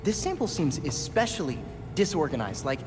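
A studious young hero in a video game, talking with thoughtful hesitations in a lively, rising and falling voice. Reverb and music fill the cutscene behind him. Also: male voice, on the high side, moderate pace.

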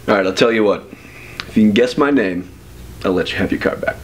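A young man plays a cocky, shady stranger who peddles magical deals in an amateur short film. His voice is fairly low and his talk is smug and slangy. Faint noise runs under the recording. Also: fairly quick, lightly acted.

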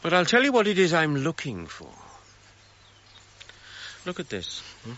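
Bright, eager gentleman archaeologist. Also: on the high side, huge pitch swings, unhurried, exaggerated voice. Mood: intrigued, eager. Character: eager, self-assured English gentleman archaeologist. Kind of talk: radio drama acting. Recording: muffled, faint outdoor ambience noise.

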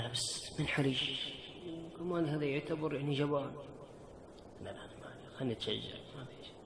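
A man's voice: medium pitch, slight background noise. A young man, fretting aloud to himself in an acted skit on an outdoor rooftop. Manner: fretful, talks to himself. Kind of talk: acted self-talk in a comedy skit.

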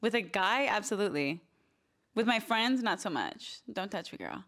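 A woman's voice: medium pitch, expressive, normal speed, fairly bright tone. A young adult woman co-hosting a podcast in casual conversation with a friend, speaking into a close handheld mic. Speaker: podcast co-host. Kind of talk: casual chat between friends. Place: small bedroom, sitting on a bed. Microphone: handheld microphone held close.

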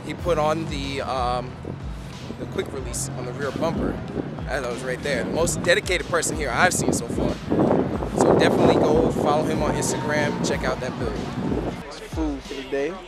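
A man's voice: a little high, steady tone, normal speed. Laid-back young man vlogging over beats. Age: young adult. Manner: laid-back, chatty, frequent ums. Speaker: vlogger host. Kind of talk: casual vlog narration. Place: outdoor car event lot and parked car. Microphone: handheld camera held close. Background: beat music.

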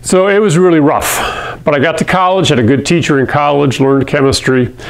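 A middle-aged man from Hawaii teaching chemistry to camera in a classroom, talking in a friendly, conversational way and often clearing his throat. He speaks into a lapel mic. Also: on the high side, fairly even intonation, a little fast. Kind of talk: video lesson to camera. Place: classroom in front of a whiteboard.

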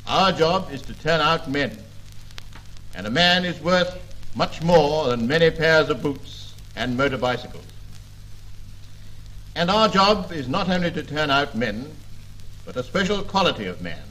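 A man's voice: a little high, lively intonation, a little slow, pauses often, bright, forceful tone, low-quality recording. A man, a headmaster, giving an emphatic prize-giving speech on an old 1950 recording.

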